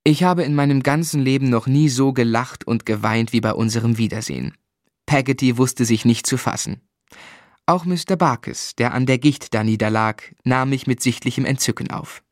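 An actor playing a polite gentleman who narrates his own youth in a composed, literary radio drama style. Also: mid-range voice, moderate pace, no echo, clean studio sound, lightly acted. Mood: composed, reflective.

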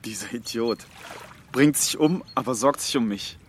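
A man's voice: mid-range voice, moderate pace, a little hiss or hum, natural voice. An actor playing a bookish, wry and brooding young man in a radio play. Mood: bitter, subdued. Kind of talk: radio drama dialogue.

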